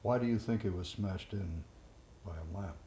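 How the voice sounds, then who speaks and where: A man's voice: sits low, normal speed, warm tone, natural voice. An actor playing a probing, terse male investigator who asks short, direct questions in a crime drama. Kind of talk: acted police interview questioning. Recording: echoey room, noise, keyboard typing.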